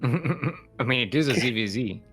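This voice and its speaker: Lively young man casting a game. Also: medium pitch, a little slow, faint music behind. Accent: Singaporean. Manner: animated, laughs often, chatty. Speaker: esports caster. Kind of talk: live game commentary.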